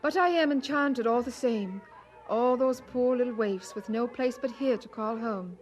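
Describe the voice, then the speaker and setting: An actress playing a devoted, sensible preacher's wife in a radio drama, gentle but firm in manner. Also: female voice, fairly high pitch, normal speed, slight background noise, lightly acted. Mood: tender.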